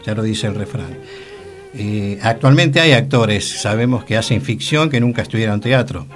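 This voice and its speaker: A man's voice: fairly low pitch, moderate pace. Argentine man co-hosting radio over music. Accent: Argentine, Rioplatense. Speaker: radio co-host. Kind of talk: casual radio chat. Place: radio studio. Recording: music playing behind the voice.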